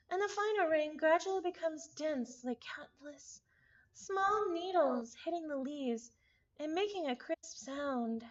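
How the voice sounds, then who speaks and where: A woman streamer reading a visual novel aloud, mixing expressive narration with casual asides. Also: sits high, highs cut off.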